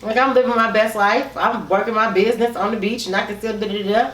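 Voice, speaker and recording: A woman's voice: fairly high pitch, quick pace. A woman in her thirties co-hosting a casual conversation, speaking animatedly and agreeing often, seated at a table indoors. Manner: animated, emphatic agreeing, frequent "right". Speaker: co-host. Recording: roomy echo.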